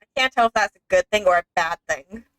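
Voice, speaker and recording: A woman's voice: mid-range voice, lively intonation, fast, fairly bright tone, rough recording. A young woman streaming a game, chatting casually and reading in-game text aloud.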